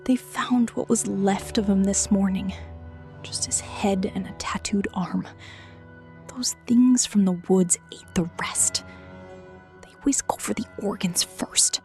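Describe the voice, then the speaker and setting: A woman's voice: medium pitch, takes it slowly, faint music behind, pitched above the performer's own voice. Narrator putting on a whispering gossiping old woman. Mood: hushed gossip. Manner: hushed, gruesome gossip. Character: gossiping older woman in a shop queue.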